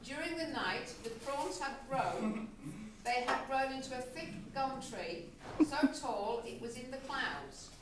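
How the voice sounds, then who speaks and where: A woman's voice: high voice, animated, a little slow. Echoey, distant woman narrating a puppet story. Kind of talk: storybook narration for amateur comic puppet show. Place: room in a nursing home. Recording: very echoey, noisy, distant room recording.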